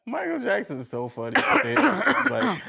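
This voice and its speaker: Male co-host, slow gravelly voice, wide swings, reactive and laughing. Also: extremely high pitch, huge pitch swings, sharp tone. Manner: reactive, laughs a lot, short quips. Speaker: podcast co-host. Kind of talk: casual chat.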